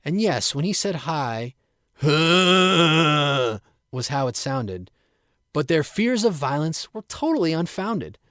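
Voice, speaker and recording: A man's voice: on the high side, big pitch swings, measured pace, dry recording, clean studio sound. A man reciting his own playful rhymed poem. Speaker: poet reading own work.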